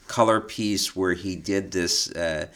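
A middle-aged American man with a low-pitched voice co-hosts a conversational podcast. He explains and tells stories at a measured, deliberate gait. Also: fairly bright tone. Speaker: podcast co-host. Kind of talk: conversational discussion of illustration history.

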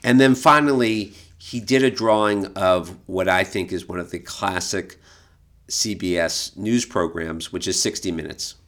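A man co-hosting a podcast in casual conversation, explaining things in a measured, hesitant way. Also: low-pitched, fairly bright tone. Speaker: podcast co-host, art expert.

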